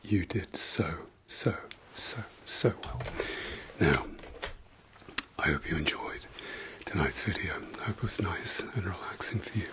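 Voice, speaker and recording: A man whispering softly through an ASMR eye-exam roleplay, repeating gentle praise and soothing words. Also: low voice, background noise. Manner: slow soft whisper, repetitive soothing praise. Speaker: ASMR creator.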